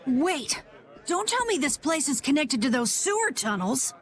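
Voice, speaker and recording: A woman's voice: sits high, measured pace. An actress voicing a jumpy, anxious young boy adventurer in an anime game dub. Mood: alarmed. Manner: excitable, nervous.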